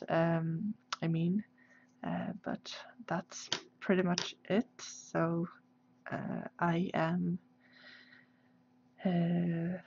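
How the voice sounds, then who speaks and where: Slow, halting Swedish woman on a muffled stream. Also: fairly low pitch, creaky, a little mellow. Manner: hesitant, halting, searching for English words. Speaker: livestream host, artist. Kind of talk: casual livestream chat while drawing. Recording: dull, lo-fi livestream audio.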